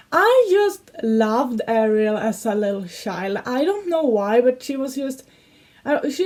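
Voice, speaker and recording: A giggly young woman with a Swedish accent, talking casually for a reaction video. Her voice sits fairly high and she takes her time, pausing and restarting as her English slips now and then. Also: a little mellow. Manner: chatty and giggly, slightly broken English grammar. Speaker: YouTuber reacting to songs.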